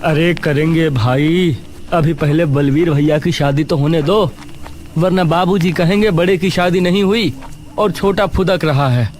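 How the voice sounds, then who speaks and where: A man's voice: on the high side, measured pace, darkish tone, slight background noise, voice pushed up, close to an everyday voice. A man voicing an easygoing, teasing young village boyfriend in an audio horror story. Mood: teasing, reassuring.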